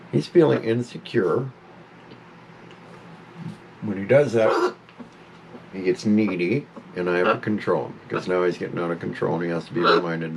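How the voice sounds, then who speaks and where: Deep gravelly man in his fifties. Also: a little hiss or hum, rough recording. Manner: rambling, informal, scolds his parrot mid-talk. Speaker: pet owner vlogger. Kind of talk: casual talk to camera. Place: at home with a parrot on his shoulder.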